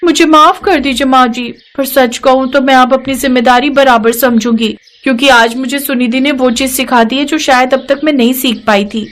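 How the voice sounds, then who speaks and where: A woman's voice: high voice, fairly even intonation, normal speed, few pauses, fairly bright tone. A woman voicing a respectful, apologetic young daughter-in-law who speaks deferentially in a moral-story cartoon.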